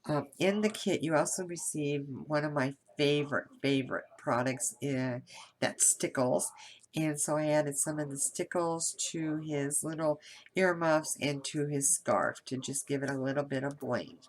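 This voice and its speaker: A woman's voice: low voice, unhurried. A nasal woman from Kentucky with a head cold, chatting casually through a craft tutorial with lots of fillers and restarts. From Kentucky, USA. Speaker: craft video host.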